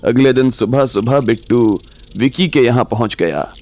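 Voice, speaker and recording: A man's voice: mid-range voice, moderate pace, darkish tone. A man narrating a scripted audio story as its storyteller. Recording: music bed behind the voice.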